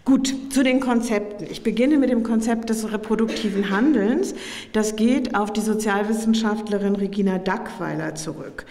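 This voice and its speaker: A middle-aged woman delivers a public academic lecture in a formal, explanatory manner. Her voice sits at a medium pitch and is a little hoarse with a cold. She speaks into a podium microphone in a hall with long reverb. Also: moderate pace, mellow. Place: indoor lecture room at a podium.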